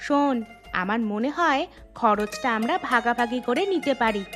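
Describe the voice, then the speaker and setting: Woman playing cartoon village woman, high pitch, encouraging, persuasive, reassuring, music behind. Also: moderate pace, fairly bright tone, natural voice. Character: encouraging village woman planning a fish-fry business. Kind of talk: cartoon dialogue.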